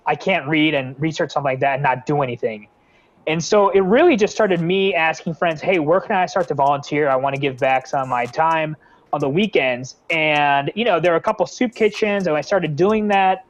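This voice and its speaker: A young American man speaks earnestly in a guest interview, his talk full of fillers and restarts. His voice sits a little high. The sound is dull and lo-fi, like a video call. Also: moderate pace. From San Francisco Bay Area. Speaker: nonprofit founder, guest.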